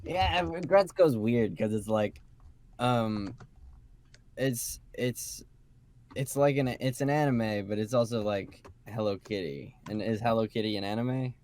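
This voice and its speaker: A man's voice: lowish voice, unhurried, a little mellow. A man co-hosting a podcast in casual, bantering group conversation about a fan topic. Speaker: podcast co-host.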